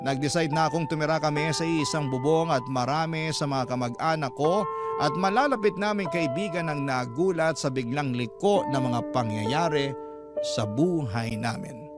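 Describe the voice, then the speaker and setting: A man with a Filipino accent reading a listener's story as a radio host, in sentimental, measured, dramatic narration. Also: a little high. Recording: loud music under the voice. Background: loud background music.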